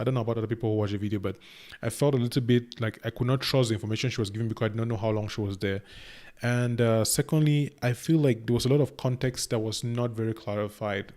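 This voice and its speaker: A man's voice: medium pitch, steady tone, fast, a little mellow. A young man from Cameroon with a Cameroonian accent, hosting a reaction video and thinking aloud in a loose, rambling way with many restarts. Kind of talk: casual reaction commentary.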